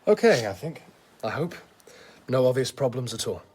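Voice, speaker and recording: An actor playing an earnest, principled young doctor, polite but firm, in a radio drama. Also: male voice, mid-range voice, big pitch swings, normal speed, a little mellow, breathy edge. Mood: flustered.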